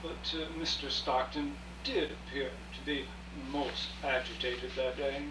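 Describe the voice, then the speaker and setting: A man's voice: mid-range voice, fairly even intonation, a little slow, lightly acted. A man playing a discreet, deferential butler in a radio play, speaking with stiff, formal politeness. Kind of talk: live radio play acting. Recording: echoey distant live rehearsal, clear noise.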